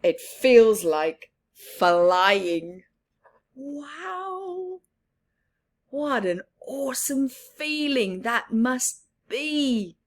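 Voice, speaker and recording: A woman reading a children's picture book aloud, expressive, with soft laughs and whispered asides. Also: high voice, very slow. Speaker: storyteller.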